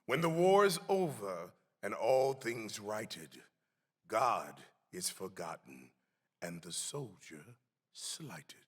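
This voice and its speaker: A man giving a solemn, emphatic dramatic reading of a historical text in a deliberate, theatrical way. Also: lowish voice, very animated. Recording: echoey hall with long reverb.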